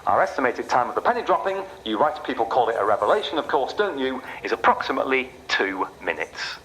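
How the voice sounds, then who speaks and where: A man's voice: medium pitch, sing-song, fast, sharp tone, slight background noise, low-quality recording. An actor playing a sardonic male train announcer who needles passengers with mocking, conversational patter in a radio play. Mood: wry.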